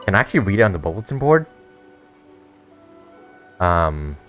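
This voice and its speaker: A man's voice: low voice, very animated, moderate pace, fairly bright tone, faint music behind. A young man giving casual, slangy, bemused commentary as he plays through a video game. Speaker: gamer, video creator.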